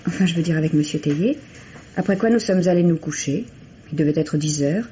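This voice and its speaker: Actress as a composed young secretary, muffled recording. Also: female voice, fairly low pitch, brisk, mellow, lightly acted. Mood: composed, guarded. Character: composed, reserved young secretary, coolly candid witness. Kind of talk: French radio mystery play acting. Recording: muffled, noisy old radio recording.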